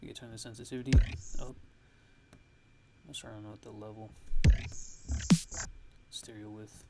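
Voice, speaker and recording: A man narrating a casual software tutorial as a music production host, speaking haltingly as he thinks aloud. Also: fairly low pitch, level tone.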